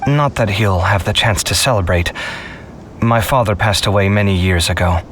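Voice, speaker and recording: A man voicing a confident but easily flustered young scholar in a video game, with a theatrical, expressive delivery. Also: fairly low pitch, moderate pace, slight background noise, natural voice. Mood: earnest, subdued. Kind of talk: scripted video game character acting.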